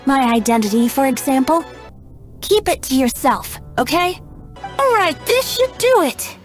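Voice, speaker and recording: Youthful male game bard, played by a woman: high, lilting, unhurried, breezy and coy. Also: big pitch swings, soft background music. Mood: playful. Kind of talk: video game voice acting.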